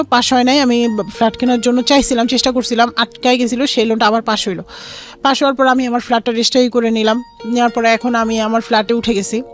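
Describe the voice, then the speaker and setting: Young Bangladeshi woman as interview guest, very quick personal storytelling with quoted dialogue, soft music underneath. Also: a little high. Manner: mixes in English words. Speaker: guest telling her life story.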